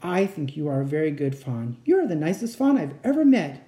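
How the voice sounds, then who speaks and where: A woman's voice: low-pitched, big pitch swings, brisk, mellow. A woman voicing an earnest, kind-hearted young girl who is polite and pleading, in a storybook reading. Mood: gentle, earnest.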